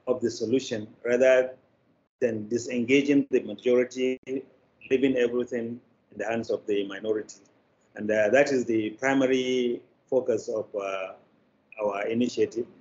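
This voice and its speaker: Older man, Nigerian accent, deliberate formal interview answers, medium pitch, muffled video-call sound. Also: darkish tone. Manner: deliberate, formal wording with frequent pauses. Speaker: interview guest, media producer. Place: plain indoor room, video call. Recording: dull, noisy video call.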